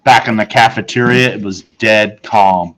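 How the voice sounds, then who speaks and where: A man who is a teacher, narrating a children's book aloud with expressive storytelling. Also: on the low side, unhurried, rough recording.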